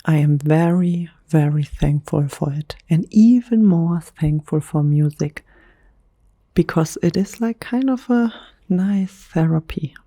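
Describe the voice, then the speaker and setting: A German woman, a native German speaker talking in English, whispering softly in a gentle ASMR chat. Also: low-pitched, no echo. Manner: soft, slow, unhurried whisper with gentle pauses. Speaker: ASMR creator.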